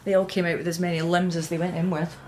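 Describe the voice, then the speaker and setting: A woman's voice: fairly low pitch, little pitch movement, fast, darkish tone, a little hiss or hum, lightly acted. An actress playing a wry, caring female doctor chatting casually at home in a radio drama. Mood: wry, concerned.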